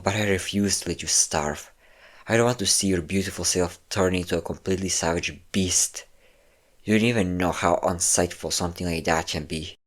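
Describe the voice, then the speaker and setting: A man's voice: low voice, close to an everyday voice. A man playing a possessive, cocky vampire captor in an intimate roleplay audio drama, coaxing and smug, with theatrical endearments. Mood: condescending.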